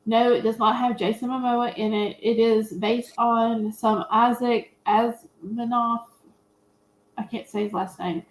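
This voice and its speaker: A woman's voice: a little high, steady tone, unhurried, a little hiss or hum. A woman chatting casually and emphatically about films and series as an opinionated panelist. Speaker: panelist on a movie review show. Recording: roomy, echoey sound.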